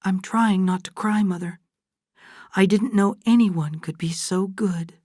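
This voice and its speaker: A woman voicing a restrained daughter with a scheming streak in an audiobook, speaking in a controlled, deliberate way. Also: low voice, dry recording, studio quality, pitched above the performer's own voice. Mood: moved, nervous. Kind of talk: dramatised audiobook dialogue.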